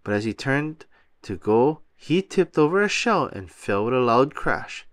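A man reads a children's story aloud in an expressive, very animated way. His pitch is medium and moves a lot. The sound is close and dry. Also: unhurried, dry recording. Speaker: storybook reader.

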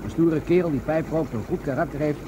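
An actor playing a jovial, chatty older police gentleman in a Dutch radio drama. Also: a little high, fast, natural voice. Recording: clear boat and water noise behind.

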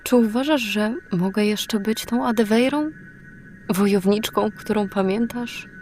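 A woman playing an uncertain, earnest warrior woman without her memories in a fantasy audio drama, soft-spoken and hesitant. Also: mid-range voice, a little slow, a little hiss or hum, close to an everyday voice. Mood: doubting.

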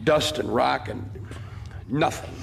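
A man's voice: mid-range voice, takes it slowly, gravelly, noisy background. An actor playing a cynical, irritable survivor in a radio drama, sarcastic and bitter in his delivery. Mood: bitter, sardonic.

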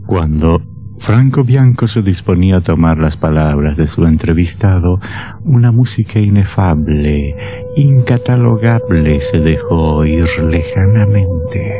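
Dull old radio sound, sinister horror narrator, slow and solemn, ominous, deliberate. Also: male voice, sits low, expressive. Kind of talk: scripted radio drama narration. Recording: muffled old radio sound, music behind.

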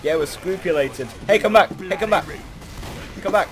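A young man giving casual, excitable, rambling and self-deprecating commentary while playing a video game. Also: high-pitched, normal speed. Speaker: gaming video host. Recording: noisy game audio behind the voice.

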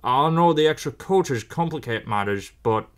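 A man playing a dignified, approving railway boss in a children's story, with a formal, measured manner. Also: mid-range voice, lively intonation, lightly acted. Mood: proud.

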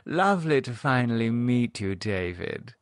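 A man's voice: medium pitch, big pitch swings, dry recording, studio quality. Man putting on a gushing, coquettish posh English lady. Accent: upper-class English. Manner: effusive, drawn-out, coquettish. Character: gushing flirtatious upper-class English society wife. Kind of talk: audiobook character voice.

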